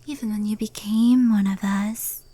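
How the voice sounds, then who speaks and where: A woman voicing a proud, powerful fox yokai in a hushed, intimate ASMR roleplay, soft and breathy. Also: mid-range voice, fairly even intonation, moderate pace, lightly acted. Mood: serious, confessional. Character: proud, powerful female fox yokai, fond confidante of a human.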